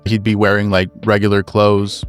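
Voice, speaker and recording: Deep voiced guy telling his rpg character's story casually, bit of music underneath. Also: completely flat delivery, moderate pace, smooth. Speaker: player in a role-playing game.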